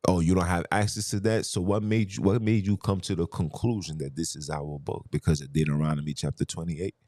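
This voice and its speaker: A deep, mellow-toned man holds forth in a heated podcast monologue. He argues hard, firing rhetorical questions and hammering points home with repetition. His voice sits close and dry, with no room sound around it. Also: fairly even intonation, brisk, dry recording. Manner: argumentative, rhetorical questions, emphatic. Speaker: podcast host.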